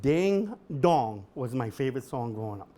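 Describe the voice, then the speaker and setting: A middle-aged man doing a stand-up comedy set at an event, with a deadpan delivery that bursts into shouted singing. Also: mid-range voice, moderate pace. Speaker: comedian host. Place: event hall with a live audience.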